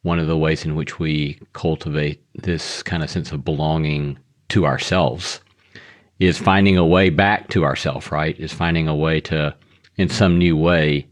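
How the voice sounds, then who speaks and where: Man, extremely low pitch, interviewer, affirming, hesitant fillers. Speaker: podcast host. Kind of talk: interview conversation.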